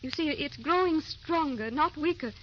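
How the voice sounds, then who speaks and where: An actress playing a tender, anxious young wife in an old radio drama, with a theatrical, wistful, pleading delivery. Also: female voice, high voice, normal speed, natural voice. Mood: anxious, tearful. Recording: dull, hissy old radio recording.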